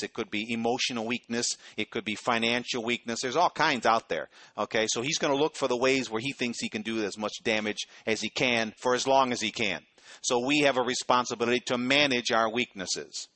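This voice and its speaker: Bright-voiced middle-aged man preaching. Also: mid-range voice, no room sound. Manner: conversational preaching, frequent "okay?" check-ins. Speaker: pastor. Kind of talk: sermon.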